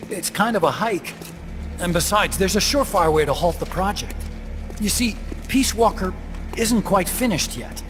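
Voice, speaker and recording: A nerdy earnest scientist in a game, kinda high voice, bit awkward, music under it. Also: male voice, animated, normal speed. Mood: earnest, explanatory. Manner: earnest, explanatory, slightly awkward. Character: earnest, bookish male scientist, conscience-stricken and awkward. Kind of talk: video game cutscene acting.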